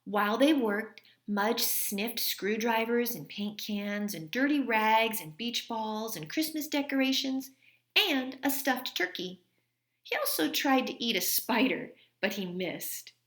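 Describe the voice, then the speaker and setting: A woman reading a children's storybook aloud in an expressive manner, like a teacher. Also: fairly high pitch, unhurried, reverberant.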